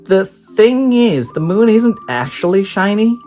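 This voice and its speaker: A man doing a bright young boy astronaut in a storybook, high and squeaky, eager know-it-all, bouncy lively tone, unhurried lines. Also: faint music behind. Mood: explaining. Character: eager clever young boy space explorer.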